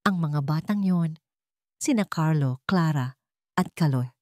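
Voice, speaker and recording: A woman's voice: low-pitched, animated, measured pace, darkish tone, no room sound, clean studio sound. A woman voicing an ailing mother in a radio drama, emotional, earnest and pleading. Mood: earnest, tearful.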